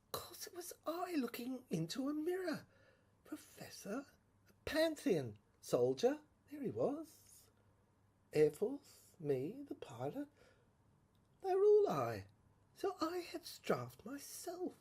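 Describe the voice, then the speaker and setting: Woman acting a husky, haunted dreamer, swooping and intense. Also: high-pitched, wildly swooping pitch, warm tone, heavily acted. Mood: hushed, wondering. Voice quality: husky, breaking into whispers. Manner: halting, repetitive, intense delivery. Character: tormented, haunted dreamer recounting a surreal inner ordeal. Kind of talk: dramatic monologue in a serial audio drama.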